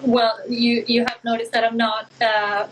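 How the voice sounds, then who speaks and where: Woman, hesitant, higher voice. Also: level tone, measured pace, a little hiss or hum, rough recording. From Argentina. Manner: hesitant, searching for words, many fillers. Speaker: co-host. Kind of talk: casual chat on an online live stream.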